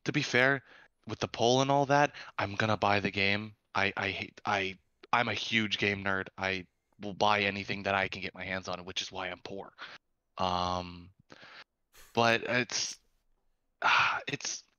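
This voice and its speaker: Halting French Canadian man, dull lo-fi mic. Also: lowish voice, steady tone, pushed and bright, smooth, dry recording. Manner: halting, stumbling over words, sighs. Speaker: panelist. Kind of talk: casual debate chat.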